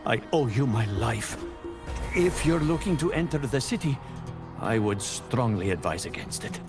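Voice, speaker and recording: An actor playing a courteous, wry, self-preserving traveler in a video game, wordy and dramatic. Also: male voice, mid-range voice, expressive, normal speed, over music, close to an everyday voice. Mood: grateful, shaken.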